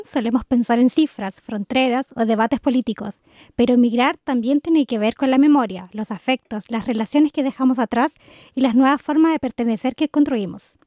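A woman's voice: high voice, mellow, no echo, clean studio sound. A woman hosting a radio programme, reading a scripted introduction to an interview. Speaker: radio host.

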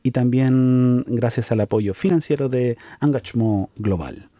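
A man hosting an interview, asking questions in a friendly, encouraging way with quick affirmations. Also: mid-range voice, mellow, no room sound. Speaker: interview host. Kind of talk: conversational interview.

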